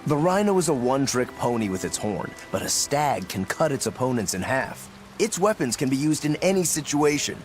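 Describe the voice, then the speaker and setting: Um ator interpretando um espadachim descontraído e alegre, de fala franca e brincalhona. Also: male voice, medium pitch, sing-song, brisk, a little hiss or hum, lightly acted. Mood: casual. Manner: casual, blunt, playful. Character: laid-back cheerful male swordsman. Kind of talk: game dub acting.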